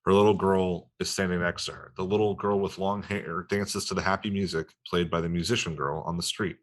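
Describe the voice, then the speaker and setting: A man's voice: sits low, little pitch movement, fairly quick. A man reading stage directions as the narrator of a screenplay table read.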